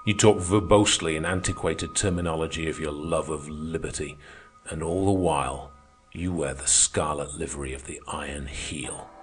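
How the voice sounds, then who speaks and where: A man's voice: extremely low pitch, moderate pace. A man voicing a fiery, defiant socialist orator who denounces his opponents in a thundering, declamatory way. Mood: scornful. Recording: music playing underneath.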